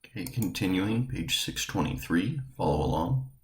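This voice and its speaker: Male teacher for lesson prompts: very deep voice, lively inflection, informal guidance. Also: normal speed. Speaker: teacher leading a read-along. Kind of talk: informal spoken lesson instruction.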